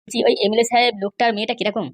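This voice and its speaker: A man voicing a cheeky, nagging middle-aged father in a comic cartoon, brash and mocking, with a pitched-up voice. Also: level tone. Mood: businesslike. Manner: brash, mocking, fast-talking.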